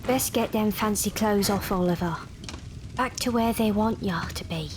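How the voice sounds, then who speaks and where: A woman playing a reluctant, soft-hearted young London thief in an audio drama, with a weary, pleading manner. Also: mid-range voice, moderate pace, background noise, natural voice. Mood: regretful.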